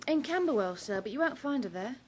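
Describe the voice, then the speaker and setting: An actress doing a high, polite Victorian maid. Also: female voice, animated, fairly quick, slight background noise, lightly acted. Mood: deferential. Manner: polite, deferential. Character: young Victorian housemaid, deferential.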